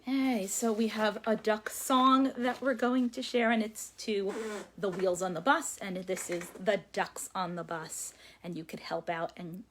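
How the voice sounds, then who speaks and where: A woman's voice: medium pitch, fairly even intonation, unhurried, a little hiss or hum. A woman children's librarian leading a read-aloud and sing-along, speaking to young children and inviting them to join in. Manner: addresses young children, invites counting and joining in. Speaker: children's librarian hosting a storytime.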